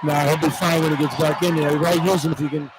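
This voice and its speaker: A man's voice: a little high, level tone, fast, heavy background noise. A man giving live basketball analysis as a color commentator, talking in a conversational, explanatory way. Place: indoor basketball arena.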